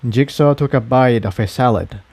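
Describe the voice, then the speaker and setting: A man's voice: medium pitch, normal speed, creaky, darkish tone, no echo. A man reading a story aloud as narrator, in scripted storytelling style. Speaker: amateur fan fiction reader.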